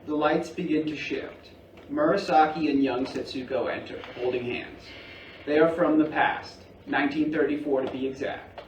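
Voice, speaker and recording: A man's voice: a little high, fairly even intonation, normal speed. A man giving scripted narration, reading out stage directions at a staged play reading. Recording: echoey room, distant mic, faint noise.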